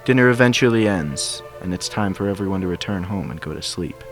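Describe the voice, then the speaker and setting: A man's voice: low-pitched, animated, fairly quick. A man reading fan fiction aloud as scripted narration, with music behind him. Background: background music.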